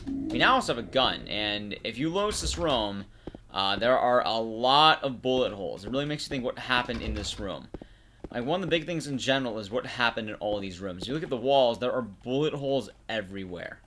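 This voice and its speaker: A man commentates on a game as he plays, talking casually and explaining to his audience. His voice is bright and very lively in pitch, over faint background noise with some clicks. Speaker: streamer and commentator. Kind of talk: casual live gameplay commentary.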